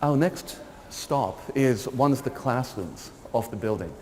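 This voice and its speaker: A man's voice: mid-range voice, lively intonation, a little slow, a little mellow. A middle-aged man, a lecturer, giving a wry, critical walking tour of a building, recorded by a camera at a distance in hallways and an empty lecture hall. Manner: dry, wry critical commentary. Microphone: far camera. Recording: reverberant hall, faint room noise.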